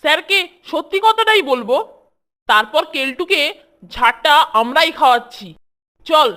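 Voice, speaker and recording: A woman's voice: high-pitched, big pitch swings, moderate pace, bright, forceful tone, heavily acted. A woman playing a plucky, confident young schoolboy in a cartoon, with a bold, cheeky manner. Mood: plucky, defiant.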